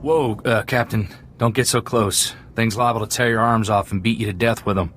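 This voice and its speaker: A man's voice: fairly low pitch, fairly even intonation, moderate pace, a bit bright, a little hiss or hum, lightly acted. A man playing a nervous, wisecracking henchman in a video game cutscene. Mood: wary, wry. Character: nervous, wisecracking male henchman warning his captain.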